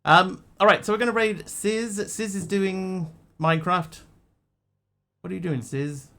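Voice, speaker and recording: A man's voice: high-pitched, a little slow, creaky, a bit bright. A man who grew up in Australia, chatting casually to his stream audience in a rambling, hesitant way with lots of ums and restarts. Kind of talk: casual live-stream chat.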